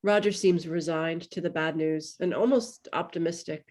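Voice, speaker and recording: A woman's voice: lowish voice, fairly even intonation, moderate pace, darkish tone. A woman giving scripted narration, reading stage directions for a table read.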